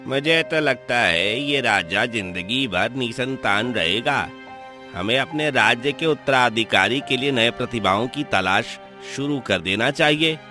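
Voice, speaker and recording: A man's voice: mid-range voice, animated, unhurried, music in the background, natural voice. A man voicing a scheming, resentful and ambitious royal minister, grumbling with a sneer in a cartoon story. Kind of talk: cartoon story villain acting.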